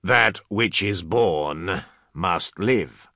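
Male reader as solemn male translator, sci-fi audiobook. Halting, precise, very slow, bright. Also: sits low, gravelly, no echo, clean studio sound, exaggerated voice. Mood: grave, careful. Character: grave, careful male scholar translating cryptic chants.

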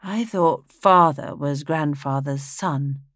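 A woman's voice: deep voice, takes it slowly, fairly bright tone, no room sound, studio quality, full character voice. A woman voicing a cool, sly young woman making a pointed remark to her mother.

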